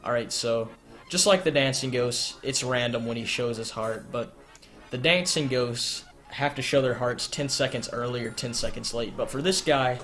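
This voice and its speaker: A man's voice: mid-range voice, moderate pace, a bit bright, faint music behind. A young man narrating a step-by-step game walkthrough in an explanatory manner, his voice strained and cracking from long talking. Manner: explanatory, repeats points, filler "uh". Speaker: speedrun guide narrator. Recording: noisy home recording with keyboard clicks.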